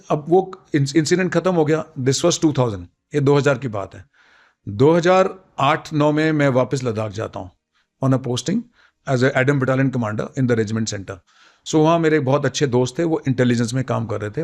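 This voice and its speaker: A man's voice: medium pitch, gravelly, darkish tone. A middle-aged man with an Indian accent and a mid-range voice recounts his army days. He talks as a veteran officer in conversation, mixing two languages within his sentences. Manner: code-switches between Hindi and English. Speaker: retired army colonel, interview guest.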